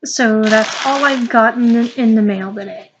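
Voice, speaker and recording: Young woman, stuffy and sniffling, slow halting vlog chat, slightly dull lo-fi audio. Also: a little high, slight background noise. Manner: halting, with many ums and restarts. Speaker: vlogger. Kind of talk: casual unboxing vlog.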